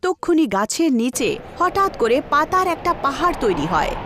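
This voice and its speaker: A woman's voice: sits high, big pitch swings, normal speed, fairly bright tone, a little hiss or hum. A woman narrating a scripted cartoon story as its storyteller. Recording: music behind the voice. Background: music.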